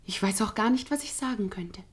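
Radio play casting: woman as frank young country girl, breathy husky voice, quietly resigned. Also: mid-range voice, brisk, close to an everyday voice. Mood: shy, restrained. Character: candid young village woman, actress in touring troupe. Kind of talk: quiet, intimate German radio play acting.

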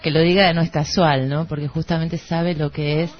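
A woman's voice: sits low, level tone, moderate pace. A woman with an Argentine Rioplatense accent talking conversationally as co-host of a literary radio show. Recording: dull lo-fi radio recording. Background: background music.

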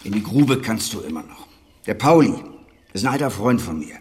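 An actor playing a gruff, hearty older dairy master in a radio play, talking in an earthy, colloquial, fatherly way to his former apprentice. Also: on the low side, lively intonation, normal speed, a little mellow, close to an everyday voice. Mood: matter-of-fact, wry. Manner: earthy, colloquial, wry, chuckling asides. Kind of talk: naturalistic German radio drama acting.

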